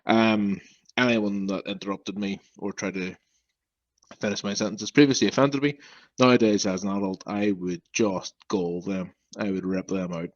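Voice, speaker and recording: A man's voice: on the low side, fairly even intonation, moderate pace, no echo, lo-fi sound. A young man from Northern Ireland, a podcast guest who stammers, talking bluntly and frankly. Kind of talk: casual interview talk.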